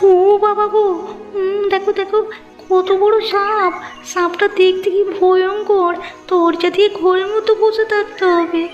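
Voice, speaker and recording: A woman's voice: very high voice, level tone, unhurried, lo-fi sound, faint music behind. A woman voicing a demanding, showy village wife in a Bengali cartoon. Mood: frightened. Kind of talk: Bengali moral-story cartoon dubbing.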